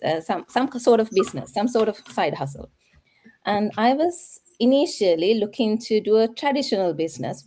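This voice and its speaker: Woman, thirties, Sri Lankan accent, slightly low pitch, halting delivery, personal testimonial, interview guest. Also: darkish tone. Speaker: guest, online business owner.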